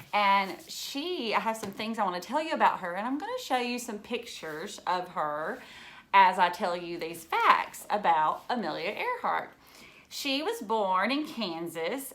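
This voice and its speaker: A woman speaks slowly in a medium-pitched voice, like a teacher at story time. She explains things simply and turns to her young listeners with direct questions. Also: pushed and bright. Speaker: teacher reading aloud to children. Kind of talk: children's read-aloud with explanatory asides.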